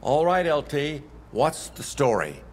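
An actor playing a smug, theatrical sheriff in a video game, drawing out words with relish. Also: male voice, a little high, very animated, measured pace. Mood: intrigued. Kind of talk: video game cutscene acting. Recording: long reverb, faint noise.

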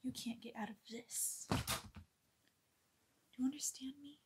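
Young woman voicing a domineering girlfriend for ASMR, airy whisper, slow, a bit high, close-miked in a bedroom. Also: fairly even intonation, heavy background noise. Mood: menacing. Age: young adult. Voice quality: breathy whisper. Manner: slow, menacing, commanding whispers close to the camera. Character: bossy, controlling young girlfriend, domineering and cruel. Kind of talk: ASMR roleplay. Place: small bedroom, indoors. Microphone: close camera mic.

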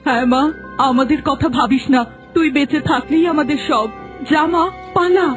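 A woman's voice: sits high, normal speed, close to an everyday voice. A woman playing a desperate, devoted mother in a fairy-tale cartoon, pleading and wailing. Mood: urgent self-sacrifice. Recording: music behind the voice.

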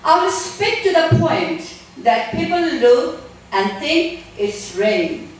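A middle-aged woman speaking and reading poetry on a stage in a deliberate, measured way. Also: high voice, big pitch swings, distant mic. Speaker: guest poetry reader. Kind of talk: introducing and reading spoken-word poetry. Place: small theater stage, indoors. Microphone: handheld microphone, recorded by a distant camera. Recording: very echoey room, picked up from afar.

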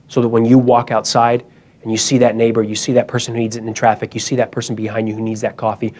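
A man's voice: lowish voice, darkish tone. A young man giving an emphatic, urgent motivational talk at a conference, speaking into a handheld mic in a hall.

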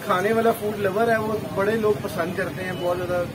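Male restaurant owner, 40s, Pakistani accent, high voice, informal interview over clear background noise. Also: fairly even intonation, fairly quick. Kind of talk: casual interview answers. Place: open restaurant counter by a street. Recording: noisy street kitchen, traffic ambience.

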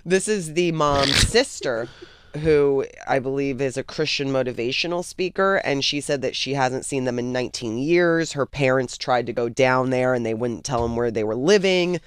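Guy on a comedy podcast with a smooth clear voice, just reading out the news bits. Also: medium pitch, moderate pace. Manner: reads out news details plainly. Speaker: podcast guest. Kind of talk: casual chat.

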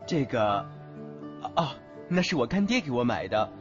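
A man's voice: mid-range voice, very animated, moderate pace, close to an everyday voice. A young man playing a caring, playful, coaxing youth in an audio drama. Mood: tender, playful. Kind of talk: Chinese audio drama acting. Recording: dull lo-fi audio with music.

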